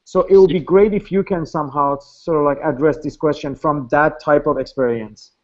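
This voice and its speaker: A man's voice: on the high side, moderate pace, darkish tone, muffled recording. A man speaking casually in an online seminar discussion, full of fillers and asking "right?" often. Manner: casual, filler-heavy, many rhetorical "right?". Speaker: seminar participant.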